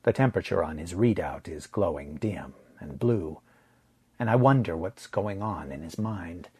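Low, husky male story narrator. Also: lively intonation, normal speed, warm tone. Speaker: audiobook narrator. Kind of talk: scripted first-person fiction narration.

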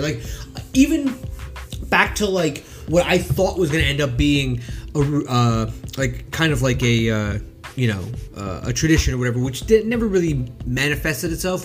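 A man's voice: mid-range voice, animated, normal speed, soft background music. A man in his late thirties co-hosting a podcast, laid-back and rambling with many fillers. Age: late 30s. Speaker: podcast co-host. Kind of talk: casual podcast chat.

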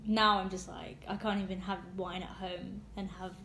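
A woman's voice: mid-range voice, little pitch movement, moderate pace, gravelly, sharp tone. A woman, chatting casually as a podcast co-host, swearing freely and laughing often. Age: 20s. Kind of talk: casual chat among friends. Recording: roomy room with faint background noise.

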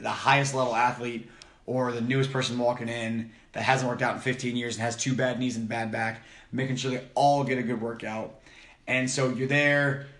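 Man, casual podcast chat, loud, outgoing, teasing, echoey room. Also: medium pitch. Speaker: podcast co-host. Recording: roomy echo.

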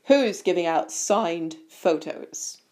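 A vain, jovial gentleman as a woman reader plays him, booming and hearty. He talks down to everyone with pompous, patronising good cheer, in storybook style. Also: expressive, takes it slowly. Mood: booming joviality. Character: pompous, jovial grown man, self-loving and patronising.

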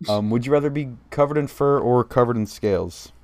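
Gravelly young man hosting a casual chat. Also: mid-range voice, a little fast, a little mellow, a little hiss or hum. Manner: joking, teasing, laughs often. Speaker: host asking would-you-rather questions.

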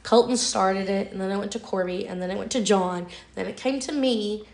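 A young woman with a raspy, hoarse voice from a lingering cold chats away on a podcast and steers the talk. Her tone has a gravelly fry in it. The room around her rings with echo. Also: medium pitch, fairly quick. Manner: talkative, chatty, leads the conversation. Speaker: podcast co-host. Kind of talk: casual chat. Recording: echoey room.

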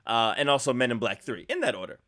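A man co-hosting a podcast, casually riffing on movie trivia in a joking, animated way with some swearing. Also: mid-range voice, quick pace. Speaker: podcast co-host.

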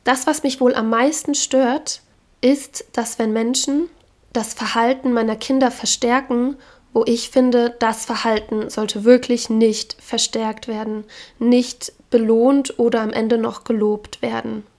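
A woman hosting a podcast, speaking frankly and with opinions while hoarse from a cold. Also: a little high, steady tone, measured pace, a little mellow. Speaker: podcast host. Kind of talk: casual solo podcast talk.

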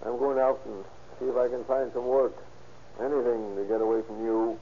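An actor playing a bitter, paranoid, volatile husband in a querulous, accusing manner, in the style of 1930s radio drama. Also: male voice, medium pitch, flat delivery, normal speed, dark tone, close to an everyday voice. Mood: irritable, resentful. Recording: muffled, noisy old radio recording.

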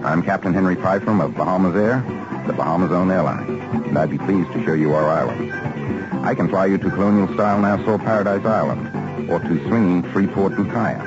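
A man's voice: low-pitched, brisk. A man speaking as an airline captain in a scripted radio advertisement. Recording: muffled radio ad, loud music.

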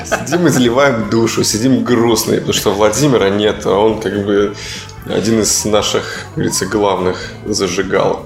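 A man's voice: lowish voice, fairly even intonation, normal speed, vocal fry. A man co-hosting a casual comedy podcast, laid-back, swearing and laughing often. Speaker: podcast co-host. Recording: echoey room with music.

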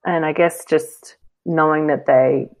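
A woman's voice: sits low, fairly even intonation, unhurried. A woman, talking openly and reflectively as a guest, with many fillers. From New Zealand. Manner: open, rambling, self-reflective with frequent fillers and soft laughs. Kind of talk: casual conversational interview.

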